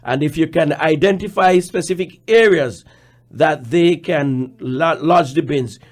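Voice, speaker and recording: Male radio guest, Caribbean accent, slightly high pitch, managerial explainer, deliberate with repeated emphasis.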